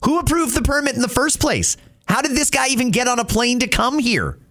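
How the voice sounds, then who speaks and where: Indignant high-voiced male political host. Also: lively intonation, fairly quick, fairly bright tone. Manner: indignant, rhetorical questions. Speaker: talk show host. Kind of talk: political commentary.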